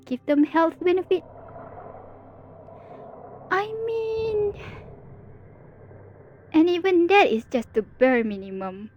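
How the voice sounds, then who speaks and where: A woman's voice: fairly high pitch, lo-fi sound. A woman playing a theatrical, playful supervillain in an ASMR roleplay, with a sarcastic, drawling, mock-dramatic delivery. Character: teasing, theatrical female supervillain, playful and world-weary.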